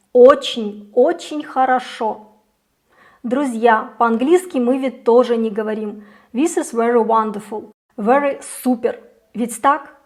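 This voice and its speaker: Young woman teacher going thru grammar examples real slow and clear, voice a bit high. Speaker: Russian language teacher. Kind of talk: scripted grammar lesson.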